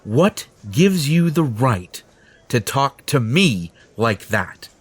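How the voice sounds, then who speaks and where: A man's voice: medium pitch, big pitch swings, takes it slowly, slightly rough, exaggerated voice. A man playing a smug, possessive, entitled superhero in an audio roleplay, pompous and condescending in manner. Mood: indignant, condescending. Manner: pompous, self-satisfied, condescending. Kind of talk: audio roleplay acting addressed to the listener.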